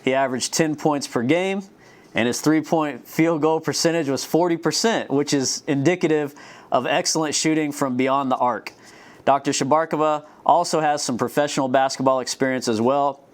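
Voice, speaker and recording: A man's voice: a little high, moderate pace. A man hosting an interview in a studio, reading a scripted introduction and then joking with his guest. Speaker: host, professor. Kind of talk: interview host.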